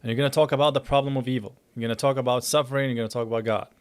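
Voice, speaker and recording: A man's voice: mid-range voice, steady tone, very fast, smooth. A man hosting a live reaction stream, cutting in with blunt, argumentative casual commentary.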